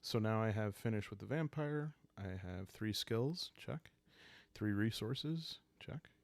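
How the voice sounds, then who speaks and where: A man with a very low voice and plenty of gravelly fry tells an improvised roleplaying story in a calm ASMR style. He hosts alone, musing and hesitating as he works out each turn. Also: slow, dry recording. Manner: hesitant, musing, thinking aloud. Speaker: solo roleplaying game host.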